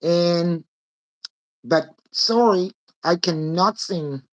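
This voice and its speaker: A woman with a raspy voice, hosting a casual live-stream chat and laughing often. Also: lowish voice, extremely slow, poor audio. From Nova Scotia. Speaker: host. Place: home room with fake northern lights projector.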